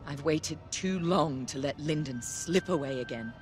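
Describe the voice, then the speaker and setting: An actress playing a cold, vengeful female military commander in a video game, speaking in a measured, menacing, formal way. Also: low-pitched. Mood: stern, controlled. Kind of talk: dramatic video game mission briefing. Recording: loud music behind.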